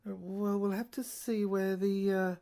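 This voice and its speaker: A woman playing a rambling, sardonic lab technician who lectures haltingly in an audio drama, repeating and correcting herself and asking rhetorical questions. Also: medium pitch, flat delivery, mellow, close to an everyday voice. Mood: musing, halting. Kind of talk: improvised-sounding lecture monologue in an audio drama.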